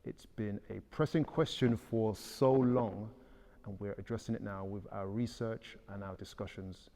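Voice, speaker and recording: A man's voice: on the low side, steady tone, a little slow, dark tone. A British man hosting a casual panel discussion, upbeat and conversational, asking questions. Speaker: podcast host. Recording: hall reverb.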